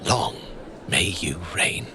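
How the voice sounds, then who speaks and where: A man's voice: takes it slowly, noisy background, natural voice. A man playing a composed, dry court attendant in a fantasy audio drama, speaking in a formal, understated way. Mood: solemn.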